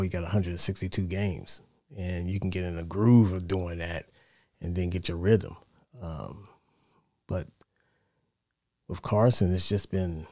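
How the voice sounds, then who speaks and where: A middle-aged American man giving casual sports commentary in a measured, conversational way, close on a studio mic. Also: lowish voice, mellow, no echo. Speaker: sports show host. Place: small home studio, indoors. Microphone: close broadcast mic on boom arm.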